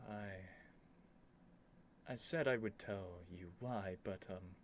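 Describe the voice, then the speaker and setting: A man's voice: on the low side, slow, a little hiss or hum. A man playing a stubborn, flustered young prince with haughty, theatrical phrasing in an ASMR roleplay. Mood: shy, flustered.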